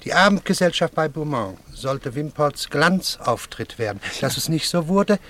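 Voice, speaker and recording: A man's voice: on the high side, expressive, normal speed, a bit bright. An actor in a German radio play as an unruffled con man with formal, suave, businesslike manners. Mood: aggrieved, businesslike. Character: shrewd, unruffled con man posing as gentleman.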